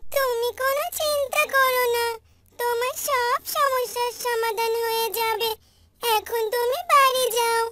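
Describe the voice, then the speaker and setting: A woman voicing a kind young fairy who speaks slowly and gently. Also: very high-pitched, flat delivery, soft background music, pitched above the performer's own voice, exaggerated voice. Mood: reassuring. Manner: slow, gentle, soothing.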